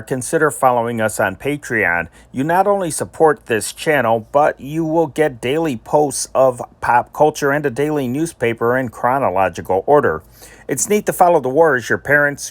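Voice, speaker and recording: Man, host, steady read-aloud delivery, dry recording. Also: mid-range voice, dry recording. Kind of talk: scripted program introduction.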